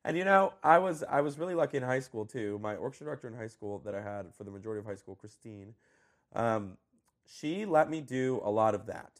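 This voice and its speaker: Fast-talking animated man. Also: medium pitch. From Colombia. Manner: animated, laughs often, trails off. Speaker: high school orchestra director. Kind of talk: conversational interview.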